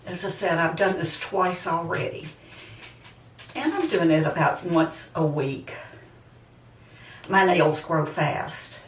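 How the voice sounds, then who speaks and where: A woman's voice: on the low side, takes it slowly, pauses often, slight background noise. A woman in her seventies chatting casually and a bit ramblingly to her viewers, addressing them directly. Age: 70s, seventy-six. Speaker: vlogger. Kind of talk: casual personal chat to viewers. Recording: roomy echo, distant microphone.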